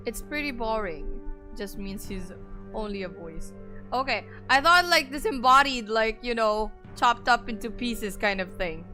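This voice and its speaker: A young woman with a raspy voice chatting casually with her viewers on a gaming stream, greeting chat and laughing often. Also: fairly high pitch, animated, measured pace, music in the background.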